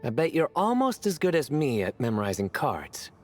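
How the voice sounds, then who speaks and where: A man voicing a self-assured young joker in a video game, deadpan and boastful with a theatrical touch. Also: mid-range voice, normal speed. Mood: jokey, self-assured. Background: soft game music.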